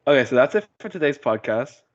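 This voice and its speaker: A man's voice: mid-range voice, lively intonation, fast, slight background noise. A young man hosting a casual podcast over a voice call, teasing and slangy, prone to goofy guffawing. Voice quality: big goofy guffawing laugh. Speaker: podcast host. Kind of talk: casual joking podcast chat. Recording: dull, muffled voice-call sound.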